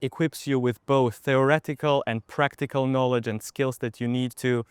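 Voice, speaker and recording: A man's voice: mid-range voice, steady tone, moderate pace, clean tone, dry recording, clean studio sound. A man in his twenties from Georgia, with a Georgian accent, giving articulate interview answers as an international student. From Georgia, Tbilisi. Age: 20s.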